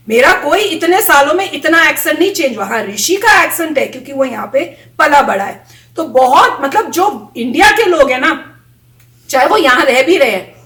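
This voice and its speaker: A chatty woman with a high voice tells anecdotes in a casual vlog and mimics what others said. She sits a little back from the camera mic, and the room around her rings with echo. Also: fairly bright tone, far from the mic. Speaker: vlogger reacting to a video. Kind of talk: casual storytelling chat.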